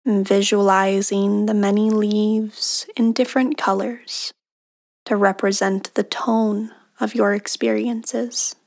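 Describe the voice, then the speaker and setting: A woman leading a guided meditation in a soothing, unhurried manner, with long pauses and soft, breathy phrase endings. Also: mid-range voice, little pitch movement. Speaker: meditation guide, podcast host.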